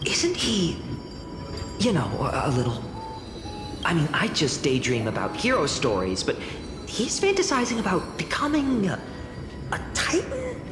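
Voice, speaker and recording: A man's voice: high voice, wildly swooping pitch, measured pace, long pauses, full character voice. A man voicing an earnest, unsure young village man who dreams of heroes in a fantasy game, hesitant and wondering. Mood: incredulous. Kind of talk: dubbed fantasy game voice acting. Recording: long hall reverb, music behind.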